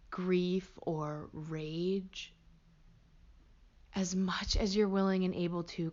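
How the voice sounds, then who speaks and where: A woman leading a guided meditation as a teacher, gentle and hushed, with pauses between short phrases. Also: on the low side, highs cut off.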